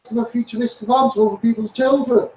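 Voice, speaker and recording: A man's voice: very high voice, fairly even intonation, fast talker, a little mellow. A man with a Scouse accent on a rambling, impassioned livestream rant, insistently addressing viewers and repeating himself. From Liverpool area, England. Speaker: online campaigner livestreaming. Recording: echoey room, distant microphone.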